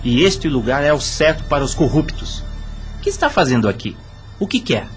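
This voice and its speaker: A man's voice: fairly high pitch, sing-song, a little fast. An actor playing a bitter, accusing dead man with a Brazilian accent in a radio drama. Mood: scornful, accusing. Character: bitter, scornful murdered man confronting a corrupt official. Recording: music under the voice.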